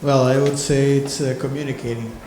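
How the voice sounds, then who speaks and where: A man from Brazil whose first language is Portuguese, speaking English in a relaxed way while searching for words. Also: medium pitch, a little fast. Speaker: workshop participant. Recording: echoey hall with background noise.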